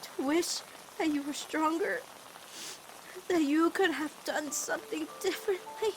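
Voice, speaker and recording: A woman's voice: high voice, unhurried, slight background noise, low-quality recording. A woman voicing a caring, protective teenage girl in a cartoon fan dub, earnest and emphatic as she pleads with her girlfriend. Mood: subdued sorrow. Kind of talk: animated-series fan dub drama acting.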